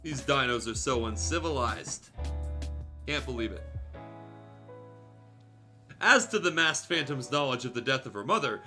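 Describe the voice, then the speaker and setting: A man's voice: medium pitch, expressive, measured pace, long pauses, pushed and bright, soft background music. A man reading fan fiction aloud as a streamer, in a theatrical, irreverent storytelling style with joking asides.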